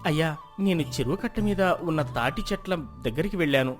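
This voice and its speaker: A man's voice: fairly high pitch, expressive, fairly quick, lightly acted. A man playing a humble accused farmer, protesting and pleading defensively in a folk tale. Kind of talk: dramatized Telugu folk moral tale. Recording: music behind the voice.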